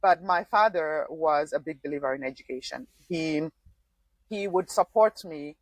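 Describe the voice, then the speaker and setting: A woman's voice: sits low, moderate pace, no echo, poor audio. A woman in her forties from Zimbabwe, a former political candidate, telling her story as a podcast guest with frequent small laughs. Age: 40s. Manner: thoughtful, laughs often, frequent restarts. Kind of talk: conversational interview.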